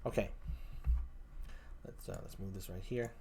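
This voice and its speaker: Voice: deep voice, fairly even intonation, a little slow, creaky, mellow, a little hiss or hum. A live streamer chatting casually with the chat while setting up to sing, talking loosely and swearing when flustered. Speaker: VTuber streamer.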